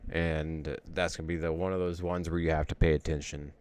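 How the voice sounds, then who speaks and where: A man's voice: very deep voice, flat delivery, fairly quick, darkish tone, clear, resonant tone, dry recording. A man casually thinking aloud as he walks through trading charts, rambling and correcting himself as he goes. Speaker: trading educator.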